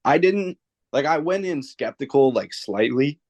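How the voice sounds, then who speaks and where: A man's voice: on the high side, lively intonation, measured pace, darkish tone, no echo. A young man co-hosting a casual sports podcast, laid-back, with dry quips. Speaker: podcast co-host.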